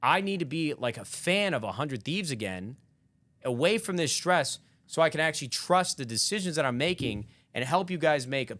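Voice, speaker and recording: A man's voice: a little high, animated, moderate pace, a bit bright, no echo. A man in his thirties talking candidly on a podcast, reflective and rambling. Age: 30s. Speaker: podcast guest. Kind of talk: casual podcast chat.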